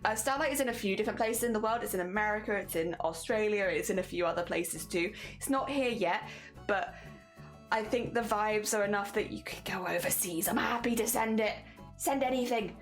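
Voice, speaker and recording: A woman's voice: mid-range voice, a little fast. British lady streamer, mixed southern midlands welsh accent, rambly chatty, laughs a lot, bit of music in the back. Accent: mixed British, southern English, Midlands and Welsh. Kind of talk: casual chat with viewers.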